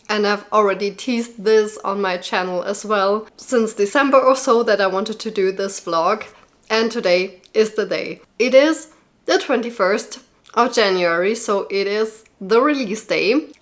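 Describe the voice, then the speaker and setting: Young woman, book vlogger, excitable and gushing, filler-heavy chatter, a little under the weather with a cold. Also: mid-range voice. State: recovering from a cold. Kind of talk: casual vlog talk to camera.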